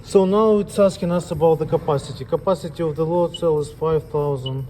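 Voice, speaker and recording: A man giving an unscripted step-by-step equipment tutorial as an instructor, speaking plainly and haltingly in short phrases. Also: a little high, mellow, a little hiss or hum. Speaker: instructor demonstrating equipment calibration.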